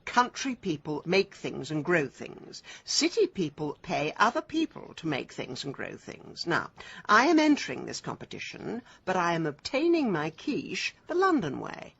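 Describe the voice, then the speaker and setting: An actress playing a brisk, tart, determined middle-aged city woman in a radio drama. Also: fairly low pitch, huge pitch swings, gravelly, fairly bright tone, breathy edge, lo-fi sound, full character voice.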